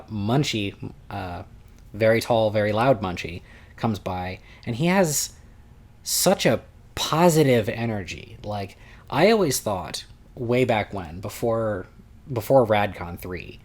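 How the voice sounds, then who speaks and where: A young man with a somewhat low, loud voice tells a long story at home in a chatty, meandering way. He trips over his words and restarts sentences often, like he is talking to a friend. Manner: rambling, self-interrupting, chatty. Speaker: vlogger recounting a convention. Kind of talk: casual storytelling vlog.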